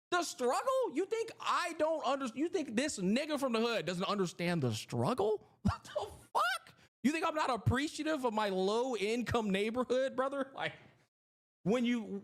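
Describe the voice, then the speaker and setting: A man in his thirties talks to his stream chat with a high-set voice. His pitch swings widely from line to line. He is emphatic and profane, hammering each point home. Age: 30s. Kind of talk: livestream commentary to chat.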